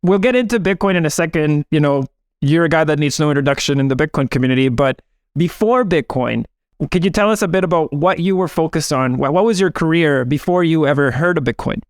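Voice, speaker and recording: A man's voice: on the high side, animated, fairly quick, no echo, clean studio sound. A man hosting an interview, opening with scripted narration and then asking his guest questions. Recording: clear music bed under voice.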